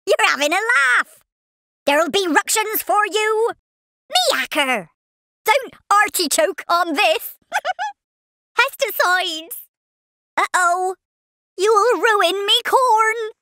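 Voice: very high-pitched, very animated, slow pace, pauses often, bright, forceful tone, dry recording, studio quality, full character voice. A performer voicing a cheeky, easily riled cartoon farmer worm for a video game, with a rustic English West Country accent and exclamatory delivery. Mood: indignant. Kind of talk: exaggerated video game voice barks.

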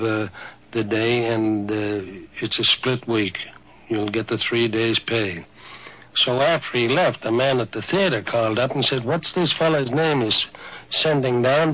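Plain-spoken man on muffled old radio. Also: mid-range voice. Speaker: interviewee recounting an anecdote. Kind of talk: conversational storytelling. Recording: dull, band-limited 1950s radio recording.

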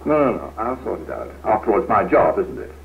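An actor playing an impatient, self-righteous middle-aged office manager, British, curt and sarcastic, in a 1970s training film. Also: male voice, lowish voice, big pitch swings, quick pace, gravelly. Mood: stern, resigned. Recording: tinny, noisy 1970s film soundtrack.

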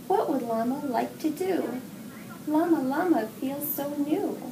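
A middle-aged woman reading a children's picture book aloud with expressive, sing-song phrasing. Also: high-pitched, measured pace. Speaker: children's story reader, hospital executive assistant. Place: indoor room with wall decorations. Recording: roomy echo, distant mic, clear background noise.

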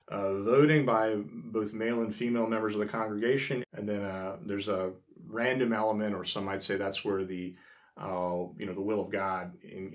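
A man in his thirties hosting a casual explainer, talking to camera in a small room with many fillers and restarts. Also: fairly low pitch. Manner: conversational, many fillers and restarts, gestures with hands. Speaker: host. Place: small indoor room by a frosted window.

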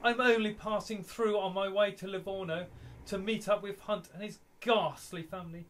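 An actor playing a haughty, mocking aristocratic poet in a radio play, pompous and taunting. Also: male voice, sits high, steady tone, normal speed, a bit bright, a little hiss or hum.

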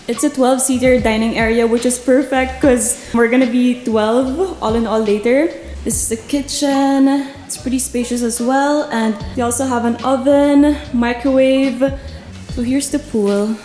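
Casting: bubbly young Filipina vlogger, high voice, unhurried, echoey rooms. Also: female voice, fairly even intonation. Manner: bubbly, chatty tour guide. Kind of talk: casual house-tour vlog narration. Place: villa rooms and poolside. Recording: echoey rooms with loud music behind.

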